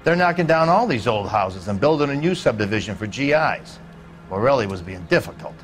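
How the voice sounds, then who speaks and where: An actor playing a grudging, folksy American neighbor being questioned as a witness in a video game drama. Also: male voice, mid-range voice, big pitch swings, brisk, faint music behind, natural voice. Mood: defensive, offhand. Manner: folksy, offhand, defensive. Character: evasive, grudging male suburban neighbor and witness.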